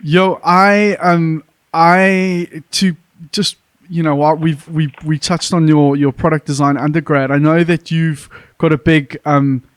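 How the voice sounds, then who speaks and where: A man hosts a relaxed podcast chat and is quick with self-deprecating jokes. His voice sits a little high. It sounds dry and close, with no room around it. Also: clean tone, no echo. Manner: chatty, quick to joke at his own expense. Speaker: podcast host. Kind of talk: casual podcast interview.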